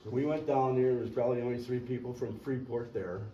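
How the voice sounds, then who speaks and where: An older man, a local resident, telling a rambling, folksy history at a public meeting and clearing his throat now and then. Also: mid-range voice, steady tone, fast talker, warm tone, lo-fi sound. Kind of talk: storytelling public comment. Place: community meeting room. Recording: echoey meeting room, distant mic, faint hiss.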